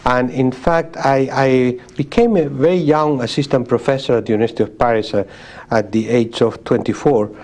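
A man in his sixties from Spain answers questions in English with a Spanish accent. He talks in a thoughtful, measured way, stopping to restart phrases and reach for words. His pitch sits in an ordinary middle range. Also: a little mellow. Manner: thoughtful, with frequent pauses and restarts. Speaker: guest, sociologist. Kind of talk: interview conversation.

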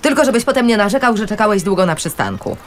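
A worried middle-aged wife and mother in a naturalistic radio play. Her mid-pitched voice moves expressively through defensive, sarcastic retorts. A light layer of background ambience is audible. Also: wildly swooping pitch, fast, slight background noise. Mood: offended, biting. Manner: sceptical, dry retorts. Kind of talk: naturalistic radio drama dialogue.

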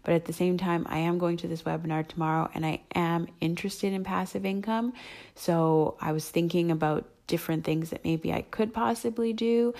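A young adult woman with a hoarse voice, rambling and thinking aloud with many ums as she hosts her solo podcast. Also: lowish voice, fairly even intonation, moderate pace, darkish tone. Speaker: podcast host. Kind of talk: casual solo podcast chat.

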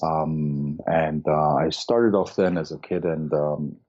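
A middle-aged man from Austria speaks in a very low, mellow voice. He talks hesitantly, filling pauses with ums and restarting his sentences. The sound is dull and lo-fi, like a remote call. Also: fairly even intonation. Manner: hesitant, many fillers and restarts. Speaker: guest, medical doctor and surfer. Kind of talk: casual interview conversation.

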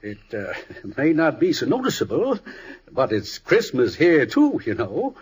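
A man playing a kindly, humble storekeeper in an old radio show, gentle, hesitant and husky. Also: mid-range voice, huge pitch swings, measured pace, exaggerated voice. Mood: gently hesitant. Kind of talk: 1950s radio drama acting.

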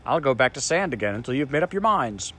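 A man's voice: mid-range voice, very animated, quick pace, fairly bright tone, husky. A man voicing a grumpy, self-important magic sand creature in an audiobook, speaking in a cross, snappish way. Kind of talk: audiobook character voice.

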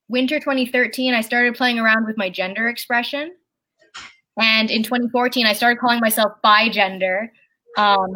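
A person with a very high speaking voice, chatting casually as a guest at home, rambling and halting with lots of fillers and restarts. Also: female voice, bright, forceful tone. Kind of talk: casual chat. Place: at home with a candle lit.

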